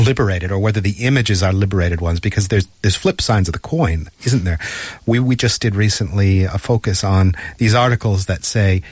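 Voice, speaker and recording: A man's voice: fairly low pitch, darkish tone, dry recording. A man hosting a radio talk show, chatty and thinking aloud as he leads an interview. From Canada.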